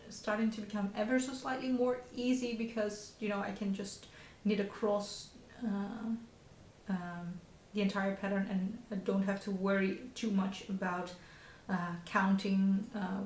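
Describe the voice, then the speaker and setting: A woman's voice: mid-range voice, darkish tone. Dutch-accented woman chatting with many fillers. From Netherlands. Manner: hesitant, many fillers and restarts, frequent small laughs. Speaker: knitting podcast host. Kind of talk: casual show-and-tell chat. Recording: echoey room with faint background hiss.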